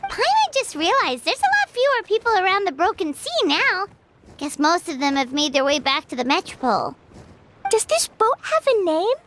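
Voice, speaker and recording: A woman voicing a tiny, excitable, childlike female fairy companion in an anime game dub, with a squeaky voice. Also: moderate pace, background noise. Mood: curious, chatty. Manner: refers to herself in the third person. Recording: background music throughout.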